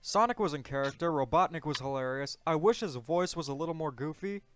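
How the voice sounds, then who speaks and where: A man's voice: fairly high pitch, brisk, clean tone. A man hosting a casual movie discussion, giving his opinions and explaining them. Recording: dull lo-fi audio, music bed. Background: music.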